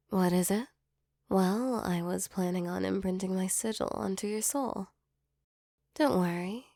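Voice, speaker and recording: A woman voicing a gentle, protective female demon in a soft, soothing ASMR comfort roleplay. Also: medium pitch, creaky, no echo, clean studio sound. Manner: soothing, tender, reassuring.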